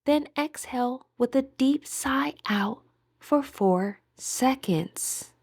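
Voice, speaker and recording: Slow-paced woman guiding a meditation. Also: sits high, lively intonation, dry recording, studio quality. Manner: soothing, deliberate, with gentle pauses. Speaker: meditation guide. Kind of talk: guided meditation narration.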